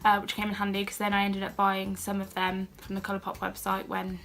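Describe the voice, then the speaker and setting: Young British woman, croaky from a cold, flat and chatty haul-vlog delivery. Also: mid-range voice, fairly quick. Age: young adult. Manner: chatty and self-deprecating, with asides and fillers. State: has a cold, a bit croaky. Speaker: beauty and haul vlogger. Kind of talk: casual haul vlog chat.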